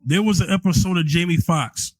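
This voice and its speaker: A man's voice: on the high side, fairly quick, dry recording, low-quality recording. A man co-hosting a podcast, giving advice conversationally and emphatically, repeating phrases to build his point. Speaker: podcast co-host.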